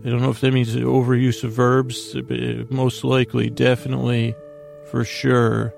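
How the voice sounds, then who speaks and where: A man hosting a podcast with a meandering, halting bedtime monologue that keeps interrupting itself and wandering off. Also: mid-range voice, completely flat delivery, creaky, darkish tone, faint music behind. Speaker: podcast host.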